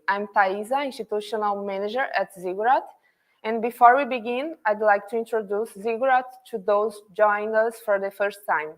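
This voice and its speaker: A woman hosting an online event, giving a formal scripted welcome on a video call with a few small restarts. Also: fairly high pitch. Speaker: event host, institutional manager.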